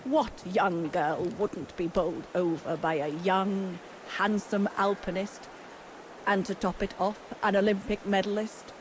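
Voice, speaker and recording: An actress playing a gruff, bitter older innkeeper and former resistance fighter in a video game, blunt and world-weary. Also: female voice, medium pitch, fairly even intonation, a little slow, natural voice. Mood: gruff, reminiscing. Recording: clear background ambient noise.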